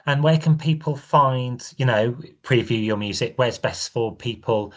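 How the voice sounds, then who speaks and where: Mid-pitched man hosting a podcast. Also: unhurried. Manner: relaxed questioning with many fillers. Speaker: podcast host. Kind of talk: podcast interview.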